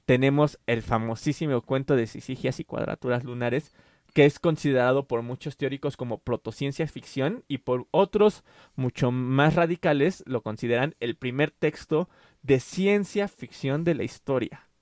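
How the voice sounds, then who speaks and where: A man's voice: mid-range voice, normal speed, a little mellow, dry recording, studio quality. A man hosting a casual literary podcast, explaining at length with fillers and restarts. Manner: explanatory, many fillers and self-corrections. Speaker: podcast host.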